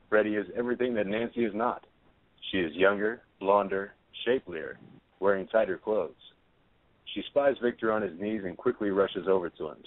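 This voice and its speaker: A man reading stage directions as scripted narration for a play, with narrow phone-like sound. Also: on the low side.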